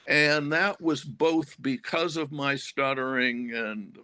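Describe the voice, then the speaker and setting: An older man shares a personal story with a group, taking his time and apologizing for talking long. His delivery is very slow and unhurried, and the sound is dry and close. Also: medium pitch, steady tone, sharp tone, no echo. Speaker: retired professor who stutters.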